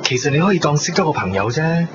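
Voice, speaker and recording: Persuasive young Hong Kong suitor. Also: male voice, a little high, very animated, fast talker, background noise. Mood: earnest persuasion. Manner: persuasive, confident. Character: smooth-talking, persistent suitor courting taken woman. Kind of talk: radio drama acting. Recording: dull radio recording with music. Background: background music.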